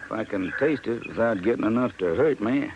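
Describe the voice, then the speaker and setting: Through clear noise on a vintage radio recording comes a plainspoken country vet played by a male actor. He is middle-low to medium in pitch, matter-of-fact and sure of himself. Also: lively intonation, brisk, muffled recording, natural voice. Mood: certain, plainspoken. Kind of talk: 1950s radio drama acting.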